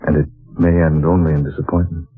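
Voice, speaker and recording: A man's voice: rumbling low, little pitch movement, fast, husky. An actor playing an earnest male writer who narrates his journey, in 1940s radio drama style. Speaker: narrator and lead character. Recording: tinny lo-fi old radio broadcast with music.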